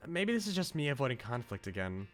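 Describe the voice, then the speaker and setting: An earnest, devoted young woman in a visual novel voiced by a man in a mid-pitched male voice. She speaks emphatically, confiding in her leader. Soft game music runs underneath. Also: sing-song, fast talker, lightly acted. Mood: subdued, resigned. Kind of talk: reading visual novel dialogue aloud in character.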